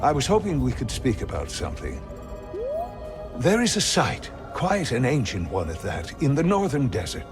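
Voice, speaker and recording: Older male mentor for a game: medium pitch, very animated, courteous and learned, over music. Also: normal speed, creaky. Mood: kindly, intrigued. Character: scholarly older male mentor, kindly and curious. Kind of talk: scripted video game dialogue.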